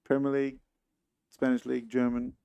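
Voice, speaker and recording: Gravelly, dark-toned guy. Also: mid-range voice, completely flat delivery, brisk. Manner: laid-back, teasing. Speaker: podcast co-host. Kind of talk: casual chat.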